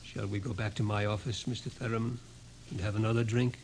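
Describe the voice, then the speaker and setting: An actor playing a grave, fatalistic scientist in an old-time radio drama, explaining things ominously. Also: male voice, lowish voice, level tone, a little fast, a little mellow, natural voice. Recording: noisy vintage radio recording.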